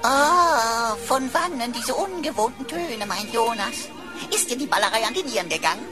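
A woman's voice: fairly high pitch, animated, moderate pace, music in the background, natural voice. A woman playing a sarcastic talking computer in a radio drama, playful, mocking and theatrical. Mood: teasing. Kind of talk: German radio drama acting.

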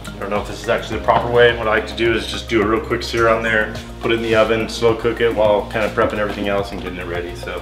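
A man in his forties co-hosting a home cooking show, explaining steps in a relaxed, plain-spoken way with ums and uhs. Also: fairly low pitch. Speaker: home cook, show co-host. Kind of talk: casual cooking demonstration. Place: home kitchen, indoors. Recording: echoey kitchen with faint music. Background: background music.

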